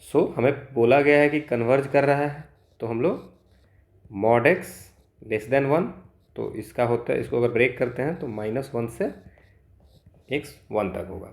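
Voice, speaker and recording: Unhurried, creaky-voiced Indian male tutor. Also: medium pitch. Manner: explanatory, mixes Hindi with English technical terms. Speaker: maths exam tutor. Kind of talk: instructional walkthrough of solved problems.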